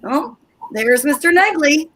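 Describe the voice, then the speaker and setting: A woman's voice: high-pitched, expressive, measured pace, fairly bright tone, slight background noise. A woman playing an anxious, pushy housewife in old time radio comedy style, insistent and impatient.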